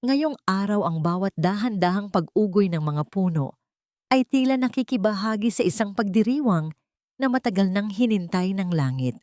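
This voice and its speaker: A woman narrating a scripted emotional story in a slow, solemn, sentimental manner. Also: mid-range voice, expressive, warm tone, no room sound, studio quality.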